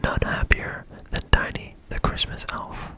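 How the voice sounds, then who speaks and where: A storyteller reading a bedtime story in a soft whisper and adding dry asides. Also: a little slow.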